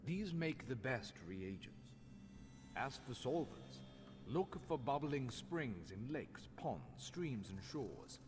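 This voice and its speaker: An actor voicing a haughty, scholarly master craftsman in a fantasy video game, speaking in a formal, measured, instructional way. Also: mid-range voice, very animated. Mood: instructive. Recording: long hall reverb, faint music behind.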